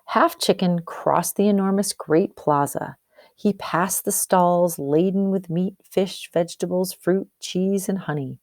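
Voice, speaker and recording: A woman's voice: lowish voice, unhurried, a little mellow, no echo, studio quality. A woman teacher doing a storybook read-aloud, explaining and posing questions as she goes. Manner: explanatory, asks and answers questions.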